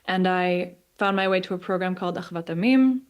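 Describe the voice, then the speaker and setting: A woman in her twenties, raised between Israel and the US, giving a personal talk as a guest speaker over a video call, pausing often with ums and restarts. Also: on the low side, smooth. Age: 20s. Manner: thoughtful, many ums and restarts. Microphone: remote video call.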